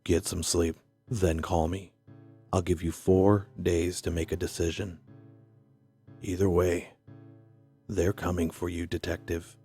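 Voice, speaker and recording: A man acting out a stern, weary police officer in an audio drama. His voice is very low and slightly husky. He delivers pointed, lecturing warnings with little rise or fall. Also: little pitch movement, a little slow, pauses often, warm tone, faint music behind.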